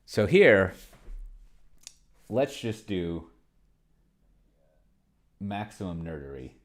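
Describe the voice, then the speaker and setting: A man's voice: deep voice, big pitch swings, extremely slow. A man doing casual screen-share commentary on a tabletop game, thinking aloud and muttering to himself in a rambling way. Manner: rambling, muttering to himself, reads out text and what he types. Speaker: solo tabletop game player and streamer.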